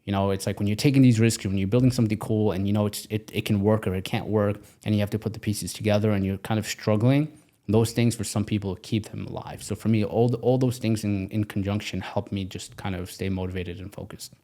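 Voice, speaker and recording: A man's voice: on the low side, steady tone, fast talker, dark tone. A man in his twenties from Pakistan, talking energetically and emphatically as a podcast guest, often repeating his phrases. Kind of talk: casual interview talk.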